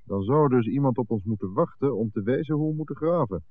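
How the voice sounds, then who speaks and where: A man's voice: mid-range voice, lively intonation, fast talker, lightly acted. Man acting a wary menacing crook in an old dutch radio play, blunt talk, tinny phone-like sound. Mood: wary, brooding. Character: suspicious, threatening male crook, leader of his mates. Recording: narrow-band old 1960s radio recording.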